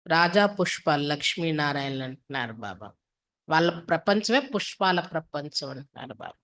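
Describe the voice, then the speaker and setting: A woman delivers a devotional discourse to a class. Her voice sits a little low for a woman. She explains point by point, asking rhetorical questions and returning to set refrains. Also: fairly bright tone. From India. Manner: didactic, with rhetorical questions and repeated attributive refrains. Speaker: spiritual teacher reading and explaining a daily discourse.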